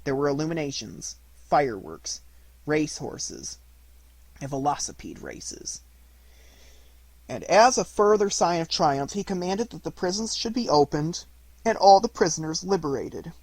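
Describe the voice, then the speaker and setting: A man narrates a children's storybook in deliberate, measured phrases. His voice sits a little high with a husky grain, and the sound is dry. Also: big pitch swings, darkish tone, no echo, low-quality recording. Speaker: audiobook reader.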